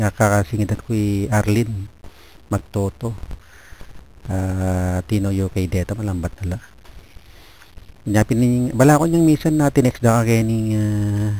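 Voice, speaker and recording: Man, Filipino accent, dark relaxed tone, hesitant delivery, casual radio exchange, slightly noisy connection. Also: on the low side. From Philippines, Pampanga region. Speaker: amateur radio operator. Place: office. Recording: noisy amateur radio internet link.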